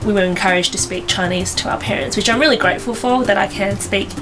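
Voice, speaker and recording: A woman's voice: mid-range voice, fast talker, rough recording. A woman, answering interview questions outdoors, thinking aloud with plenty of fillers. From Australia. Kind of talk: documentary interview. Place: outdoors in front of a hedge.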